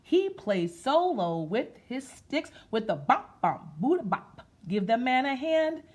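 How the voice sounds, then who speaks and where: Expressive, slow-paced woman reading to children. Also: high voice. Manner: sing-song, expressive, addresses listeners as friends. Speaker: storytime host reading a picture book aloud. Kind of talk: children's read-aloud with rhythmic sound effects and chatty asides.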